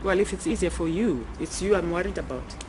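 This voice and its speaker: A South African woman with a local accent presiding as judge in court, patient and measured. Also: fairly low pitch, expressive. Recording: noisy, ambient courtroom recording.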